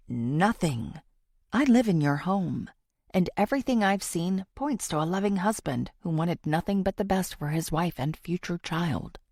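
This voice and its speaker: A woman narrator plays an earnest female true-crime podcaster in a thriller audiobook. She is confiding and theatrical, her lowish voice swinging expressively and breaking into fry. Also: darkish tone, no echo, studio quality, full character voice. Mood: pleading, insistent. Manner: confiding, theatrical, deliberate pauses.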